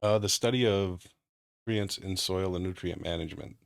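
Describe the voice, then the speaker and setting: A man answering interview questions in a measured, explanatory way. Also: low-pitched, gravelly, dry recording, studio quality. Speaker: guest, cannabis grower.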